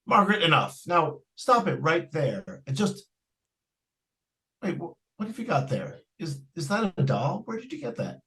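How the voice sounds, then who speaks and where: A man's voice: fairly high pitch, very animated, normal speed, a bit bright. A man playing a stern, exasperated father in an old-time radio suspense drama. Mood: angry, worried.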